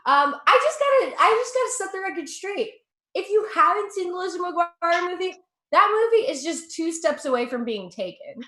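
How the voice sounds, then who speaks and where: A woman's voice: very high voice, big pitch swings, normal speed, bright, forceful tone, roomy sound. A young woman from Russia with an American accent doing a chatty, profane stand-up set, laughing at her own jokes. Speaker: stand-up comedian.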